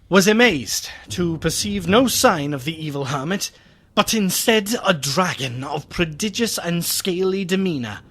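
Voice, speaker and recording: An actor playing a horrified gentleman narrator in a gothic radio play, telling the tale with dramatic dread. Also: a little high. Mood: tense reading. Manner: dramatic, dread-filled storytelling.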